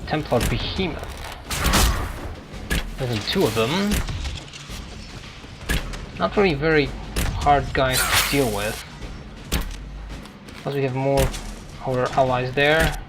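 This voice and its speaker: A man giving casual, halting gameplay commentary as he hosts a gaming video. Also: mid-range voice. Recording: game music and battle noise behind. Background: video game music and combat sounds.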